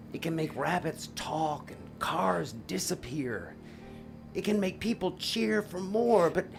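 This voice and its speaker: A man playing an earnest, remorseful father and stage magician in an audio drama, speaking in a halting way. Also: sits high, vocal fry, slight background noise, exaggerated voice.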